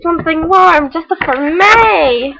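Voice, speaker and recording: A woman voicing an eager male fox in a children's storybook, exclaiming and begging in drawn-out words. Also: very high voice, expressive, gravelly, pushed and bright, a little hiss or hum, voice pushed up, exaggerated voice, low-quality recording.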